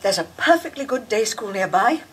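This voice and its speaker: An actress playing a resolute, restrained mother in a radio drama, firm and measured. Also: medium pitch, lively intonation, slight background noise, natural voice.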